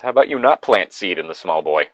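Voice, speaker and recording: Man, casual comedic reader, wry and crude, very animated intonation, dry recording. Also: low voice, fast talker, no echo, dull audio. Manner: wry, irreverent, crude joking. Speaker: host of a comedic fan-fiction reading. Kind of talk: casual banter and mocking story reading.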